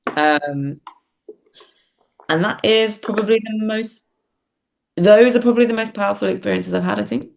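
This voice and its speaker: A woman's voice: medium pitch, normal speed, vocal fry, pauses often. A woman reflecting as an interview guest, speaking hesitantly and often searching for words. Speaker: podcast guest, theatre writer and performer.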